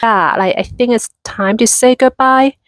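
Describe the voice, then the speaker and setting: A woman's voice: a little high, measured pace, no echo, studio quality. A woman in her thirties from Hong Kong with a Hong Kong accent, chatting casually in a vlog with many fillers and restarts. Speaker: vlogger.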